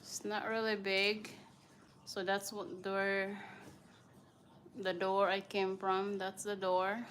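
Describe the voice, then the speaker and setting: A woman's voice: medium pitch, completely flat delivery, slow, a bit bright, slight background noise. A woman from the Philippines with a Filipino accent, chatting casually as she gives viewers a tour of her house and greets them by name. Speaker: vlogger, mother.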